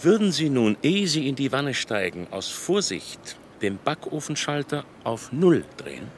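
An actor playing a polite, methodical police inspector who asks probing questions in a German radio crime drama. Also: male voice, mid-range voice, big pitch swings, measured pace, slight background noise. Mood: patient, probing.

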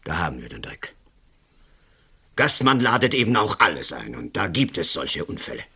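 An actor playing a pompous, imperious national councillor and colonel in a radio play, with a Swiss accent and a gravelly, husky voice. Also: male voice, low-pitched, sing-song, moderate pace, bright, forceful tone, heavily acted. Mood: curt, self-important.

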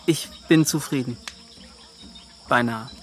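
An actor playing a proud yet self-doubting young adventurer in a German radio play, with a halting, emphatic delivery. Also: male voice, mid-range voice, slight background noise, lightly acted. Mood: wistful, defiant. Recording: music behind the voice.

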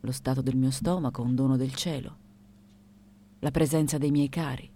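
Radio drama lead: elderly woman artist, unconventional, dry and reflective, low register, dark mellow tone, deliberate monologue delivery. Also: fairly even intonation. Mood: solemn, reflective.

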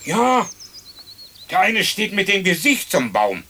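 High, fussy, grumbling male ghost. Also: huge pitch swings, slow, pushed and bright, full character voice. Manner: complaining, agitated. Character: fussy, grumbling ghost man, easily indignant. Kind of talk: German radio play acting. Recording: distant old radio recording, faint noise.

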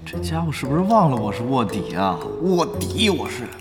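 An actor playing an exasperated, excitable young male guild leader in an audio drama, grumbling and easily flustered. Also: mid-range voice, normal speed, natural voice. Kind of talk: audio drama acting, dialogue and inner monologue. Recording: music bed under dialogue.

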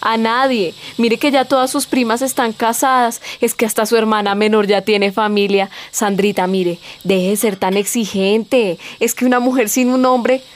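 A woman's voice: fairly high pitch, fairly even intonation, normal speed, hardly any pauses, lightly acted. A woman playing a fretful, nagging traditional mother in a radio soap opera, pleading in a Colombian accent. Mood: worried nagging.

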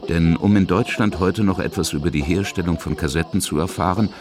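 A man's voice: low voice, fairly quick, crowd noise. A man reading scripted narration for a radio feature as its reporter. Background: music.

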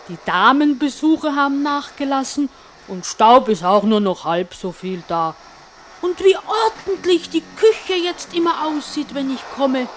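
A gossipy charwoman in a radio play, with a fairly high woman's voice that swings animatedly. She is nosy, opinionated and skeptical, recorded over some noise. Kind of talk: German radio play monologue.

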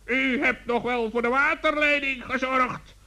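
An actor voicing an eager, bossy, teasing talking animal in a children's radio play. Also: male voice, very high-pitched, steady tone, a little slow, sharp tone, full character voice. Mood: gleeful, eager. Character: excitable, gleeful talking forest animal, mischievous.

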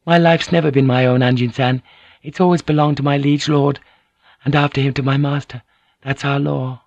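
Man voicing a high, serene noblewoman. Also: flat delivery, moderate pace, a little mellow, dry recording, dull audio, close to an everyday voice. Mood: calm, resigned. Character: serene, tender, fatalistic noblewoman in formal speech.

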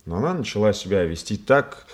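An actor playing a polite but defensive young stage actor in a Russian radio play, earnest and protesting. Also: male voice, fairly low pitch, expressive, a little fast. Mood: explaining.

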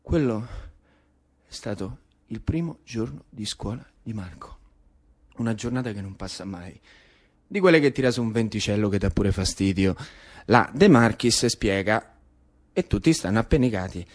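Roman storyteller, a man with swooping pitch. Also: animated, normal speed, long pauses. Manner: incantatory repetition and lists. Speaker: actor-narrator. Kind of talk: dramatic storytelling monologue for radio theatre.

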